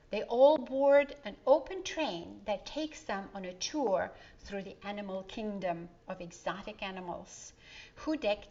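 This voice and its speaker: A woman storyteller reading a children's story aloud in an expressive way, with dramatic pauses and asides to young listeners. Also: medium pitch, muffled recording.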